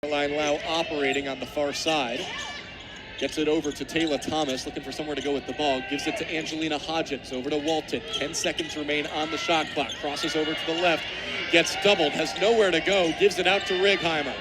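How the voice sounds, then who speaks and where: A man in his twenties calling live basketball play-by-play for radio, descriptive and animated, swelling on big plays. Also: a little high, fairly quick. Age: 20s. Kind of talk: live radio sports commentary. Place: courtside at basketball games. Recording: background noise of a live game.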